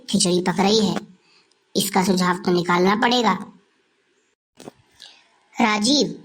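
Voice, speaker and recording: A woman's voice: medium pitch, close to an everyday voice. A woman playing a suspicious, jealous schoolteacher with an accusing manner in scripted cartoon dialogue.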